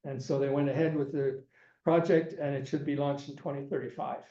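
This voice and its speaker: An older man giving a classroom lecture, halting and repeating words, who is losing his voice and keeps clearing his throat. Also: mid-range voice, steady tone, mellow. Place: lecture room. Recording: echoey room, dull lo-fi sound.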